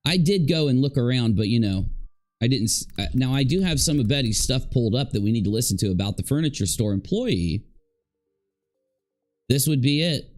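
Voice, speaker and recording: A man's voice: medium pitch, lively intonation, a little fast, a little mellow. A man commenting live, reacting to clips and talking to his chat in a sarcastic, rambling way. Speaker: livestream commentator.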